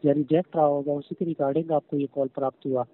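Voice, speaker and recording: A man's voice: on the high side, flat delivery, fast. A man speaking as a scripted call-centre agent on a phone call, formal and repetitive, constantly addressing the listener as sir. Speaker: phone scam caller posing as courier agent. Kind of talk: scripted call-centre customer service talk. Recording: tinny narrowband phone line.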